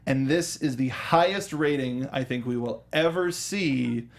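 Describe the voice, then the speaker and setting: A man hosting an informal film review show, talking casually and haltingly with emphatic repetition. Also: mid-range voice. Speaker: talk show host.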